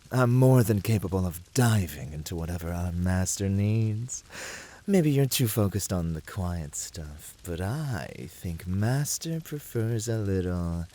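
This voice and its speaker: A man playing a flirty, devoted incubus demon in an ASMR roleplay, teasing his master with effusive flattery. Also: sits low, heavily acted.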